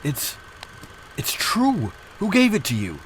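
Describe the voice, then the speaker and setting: An actor playing an earnest, principled young scientist hero with melodramatic delivery in an audio drama. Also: male voice, a little high, wildly swooping pitch, slow pace, a little hiss or hum, heavily acted. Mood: indignant.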